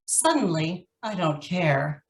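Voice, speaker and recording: A tough sarcastic female ex-con, noir radio play, lowish voice, echoey room. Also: very animated, slow pace, heavily acted. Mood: taunting. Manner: sarcastic, taunting. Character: hard-boiled, defiant female ex-convict suspect, sneering. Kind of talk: noir radio drama acting.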